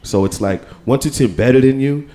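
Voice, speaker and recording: A man's voice: mid-range voice, moderate pace, mellow. An American man with a casual, rambling manner, talking on a live panel and often checking in with the listener. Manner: rambling, keeps saying "you know what I'm saying". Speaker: panel guest, percussionist. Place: live radio studio with audience.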